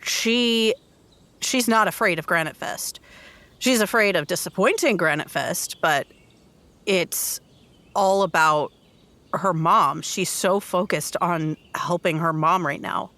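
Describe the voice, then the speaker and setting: A woman's voice: fairly low pitch, takes it slowly, fairly bright tone. A woman player describing her character's actions and feelings in a tabletop roleplaying game.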